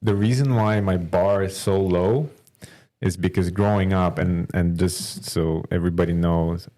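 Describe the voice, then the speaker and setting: A man's voice: deep voice, steady tone, measured pace, warm tone. A man from Ukraine, a guest in a casual chat, who laughs often and talks with some hesitation.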